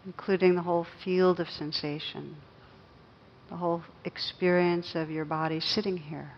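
A woman teaching guided meditation, speaking gently and unhurriedly with long pauses between phrases. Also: lowish voice, steady tone, lo-fi sound, a little hiss or hum.

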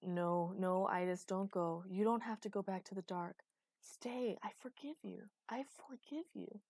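A woman voicing a desperate, fierce, commanding heroine in a dark fantasy audiobook, emotional and insistent. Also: lowish voice, steady tone, moderate pace, no echo, studio quality, close to an everyday voice. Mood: pleading. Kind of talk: audiobook character acting.

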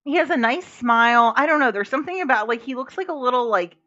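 A woman's voice: a little high, animated, quick pace, sharp tone, dry recording. A young woman co-hosting a podcast, talking casually with hesitant, stammering restarts. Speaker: podcast co-host. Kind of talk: casual chat. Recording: slightly muffled lo-fi audio.

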